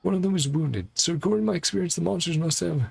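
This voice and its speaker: A wary city guard from a fantasy game, played by a man reading aloud, grumbles and reasons defensively. The voice sits higher than his own and has a creaky edge, with music underneath. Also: rapid-fire, heavily acted.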